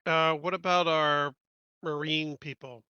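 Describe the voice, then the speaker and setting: A man's voice: a little high, fairly even intonation, measured pace, pushed and bright, clean tone, no echo, muffled recording. A man chatting casually as a tabletop game player, hesitant and searching for words.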